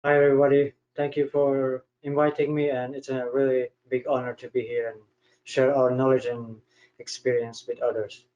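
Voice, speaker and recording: A man from Hungary, answering interview questions slowly and matter-of-factly on a video call. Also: mid-range voice, little pitch movement, a little mellow. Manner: slow, careful, matter-of-fact. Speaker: guest speaker. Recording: dull lo-fi video call audio.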